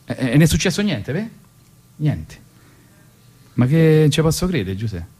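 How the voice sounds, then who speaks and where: A man's voice: on the high side, big pitch swings, normal speed, a little mellow, breathy edge. A man with a Roman accent hosting a radio show in an ironic, colloquial comic monologue style, rambling and repeating himself.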